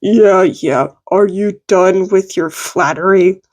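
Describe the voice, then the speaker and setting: A woman's voice: mid-range voice, slow pace, a little mellow, voice pushed down, close to an everyday voice. A woman voicing a terse, dismissive adult male mentor in a story reading.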